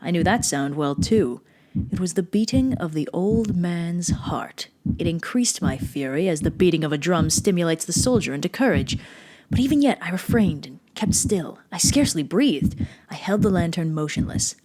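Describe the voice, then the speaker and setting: A woman's voice: on the low side, animated, normal speed, mellow, low-quality recording. Woman acting an intense, mad Gothic horror narrator. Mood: tense, hushed. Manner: theatrical, intense, precise old-fashioned phrasing. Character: nervous, manic murderer insisting on their sanity. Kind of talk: dramatic reading of a Gothic horror story.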